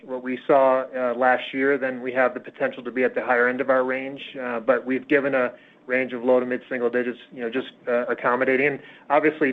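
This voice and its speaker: A man speaking as a finance executive, answering questions on an earnings call in a measured, hesitant way with pauses. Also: medium pitch. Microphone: phone conference call. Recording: tinny, narrowband conference phone line.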